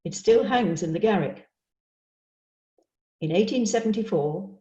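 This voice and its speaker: Low, mellow woman narrator, roomy sound. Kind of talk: scripted narration, reading aloud. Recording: dull, roomy online-call audio.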